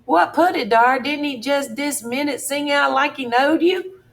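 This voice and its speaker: A woman voicing a frightened, superstitious older farmhand who pleads in a high, breathless voice. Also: measured pace, gravelly. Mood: startled.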